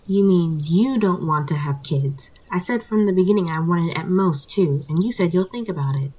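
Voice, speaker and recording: Fed-up wife, acted by a woman: defiant, accusatory, bickering, with a husky edge, for story audio. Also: lowish voice, normal speed, darkish tone, low-quality recording. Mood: indignant.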